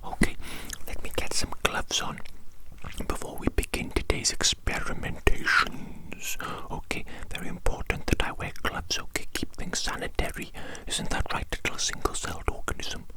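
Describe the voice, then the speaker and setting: Breathy whispering ASMR evil doctor. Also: moderate pace. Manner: repeats phrases softly, coaxing. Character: doting, chaotic evil doctor experimenting on a tiny organism. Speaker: ASMR performer.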